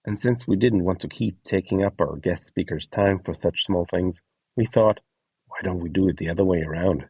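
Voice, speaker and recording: A man giving a scripted explainer narration as a host, instructing clearly step by step. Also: low-pitched, moderate pace, a little mellow, no echo, recorded from a distance. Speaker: host and instructor of a voice donation project.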